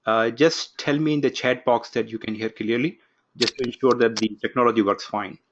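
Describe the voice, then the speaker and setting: A man opening an online course from his home office, giving instructions briskly and calling on participants one by one. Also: medium pitch, fairly even intonation, dull audio, background noise. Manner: brisk, instructive, takes roll call. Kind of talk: live online class introduction. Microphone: video call.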